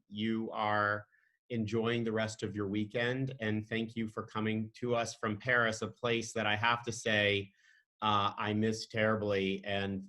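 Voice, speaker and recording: A man's voice: fairly low pitch, sharp tone. A man hosting an interview, speaking in a friendly, appreciative way with some fillers. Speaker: interviewer, host.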